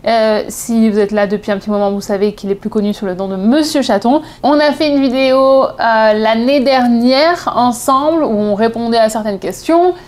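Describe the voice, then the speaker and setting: High-voiced French woman chatting casually. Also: moderate pace, hardly any pauses, a little mellow. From southeast France. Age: 30s. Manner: chatty, digressive, self-correcting. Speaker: vlogger. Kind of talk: casual personal storytelling to camera.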